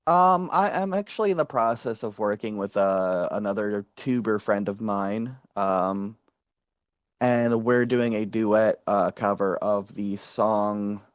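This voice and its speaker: A man's voice: fairly low pitch, slow, smooth, dry recording, studio quality. A man, a VTuber guest, chatting casually in an interview with lots of fillers and restarts. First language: English. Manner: rambling, many fillers and restarts.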